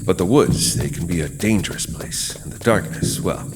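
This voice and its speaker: A man narrating a horror audio drama as host, in a measured, dramatic storytelling manner with pauses. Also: sits low, lively intonation, very noisy.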